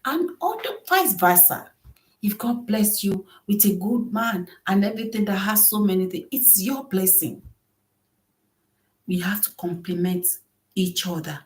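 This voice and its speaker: A soft-voiced middle-aged woman hosting a podcast, giving earnest solo advice on marriage and often repeating key phrases for emphasis. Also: medium pitch, unhurried. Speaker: podcast host.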